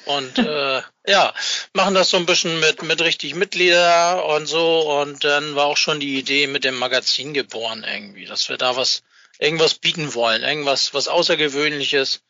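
A dull, dry internet-call recording of a man being interviewed. His voice is bright and rather high for a man. He talks casually, in a rambling, colloquial way. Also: moderate pace, no echo. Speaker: interview guest, fan club founder. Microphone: Skype call.

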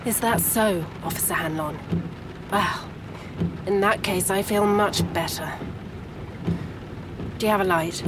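An actress playing a sharp-tongued, grieving wife in a gothic noir audio drama, sardonic and measured. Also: female voice, medium pitch, noisy background, natural voice. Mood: dry sardonic.

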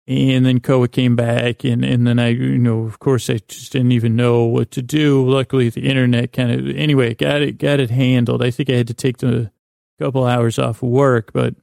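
A middle-aged man hosting a sleep podcast, rambling hesitantly and often trailing off mid-thought in a gravelly voice. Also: mid-range voice, little pitch movement, normal speed, darkish tone, no echo, studio quality. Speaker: podcast host.

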